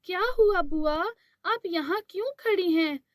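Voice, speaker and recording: Cartoon heroine: a woman doing a gentle young girl, tearful and pleading, very high pitched, slow. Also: no room sound, studio quality. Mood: kind. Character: sobbing kind dark-skinned young girl.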